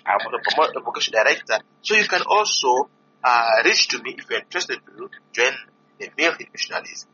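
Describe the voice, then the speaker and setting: A man from DR Congo, a priest and vocation director, making an earnest, pleading appeal to listeners with many pauses. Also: medium pitch, very animated, sharp tone. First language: not English. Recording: dull lo-fi recording with faint noise.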